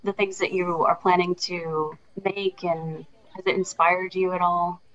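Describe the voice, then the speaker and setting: A woman's voice: fairly low pitch, flat delivery, a little slow, fairly bright tone. A woman interviewing an artist, asking questions in a casual, encouraging way. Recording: dull lo-fi sound.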